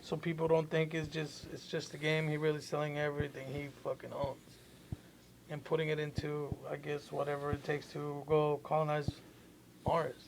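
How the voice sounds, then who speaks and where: A man's voice: on the high side, completely flat delivery, normal speed, vocal fry, slight background noise, rough recording. A man co-hosting a podcast, explaining things earnestly in casual chat. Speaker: podcast co-host.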